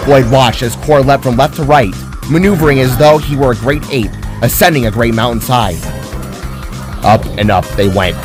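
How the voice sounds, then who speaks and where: A man reading a scripted fantasy story as a dramatic narrator. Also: mid-range voice.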